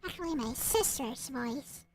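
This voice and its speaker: Really high voiced woman, slow halting casual mumbling to herself, bit of background noise. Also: lively intonation. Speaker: visual novel creator narrating. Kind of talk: casual chat while searching computer files.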